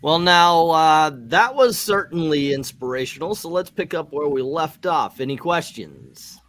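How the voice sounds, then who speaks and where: A man playing a flustered, self-doubting chairman of a superhero team in an amateur comic read, halting and awkward. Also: on the high side, a bit bright. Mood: apologetic. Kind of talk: loose amateur comic-book table read.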